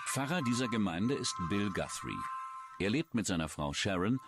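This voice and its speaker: A man's voice: on the low side, big pitch swings, moderate pace. A man reading scripted true-crime documentary narration as the narrator. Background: music.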